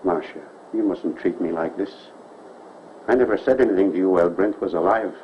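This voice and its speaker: A man's voice: sits low, brisk, close to an everyday voice. An actor playing a jealous male admirer who makes veiled threats, in a 1930s film. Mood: brooding. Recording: muffled old film soundtrack with faint hiss.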